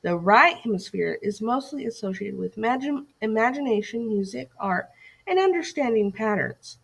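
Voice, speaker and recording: A woman's voice: a little high, lively intonation, normal speed, creaky, breathy edge. A woman reading a scripted educational lesson aloud to children as a teacher, putting questions and directions to young listeners.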